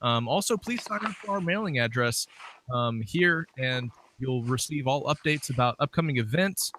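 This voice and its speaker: A man's voice: medium pitch, animated, normal speed, fairly bright tone, slight background noise. A man casually hosting an interview show, speaking informally through greetings and announcements. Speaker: show host.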